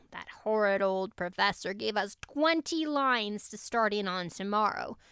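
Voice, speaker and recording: A woman's voice: on the high side, a bit bright, clean tone, no echo. A woman voicing a good-natured, weary teenage schoolgirl with a sighing, playfully theatrical manner, in an audiobook story.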